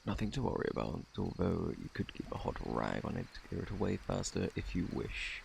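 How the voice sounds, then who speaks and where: A man with a British accent playing a polite, evasive, faintly sinister plague doctor in an ASMR roleplay, speaking softly in a formal, fussy, self-assured way with a gravelly, partly whispered voice. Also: deep voice, very animated, brisk, slight background noise, heavily acted. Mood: clinical, hushed. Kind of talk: ASMR roleplay, soft close speech.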